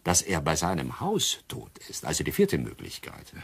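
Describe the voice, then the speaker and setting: An actor playing a shrewd, self-satisfied older doctor in a radio crime play, with a husky voice and deliberate, dry explanations. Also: male voice, rumbling low, wildly swooping pitch, heavily acted. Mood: smug, explanatory.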